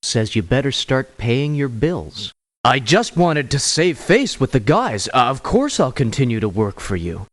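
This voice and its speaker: A man playing a proud, wry hired mercenary in a video game, with short, deliberate lines. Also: medium pitch, sing-song, no echo. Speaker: mercenary character in a strategy game.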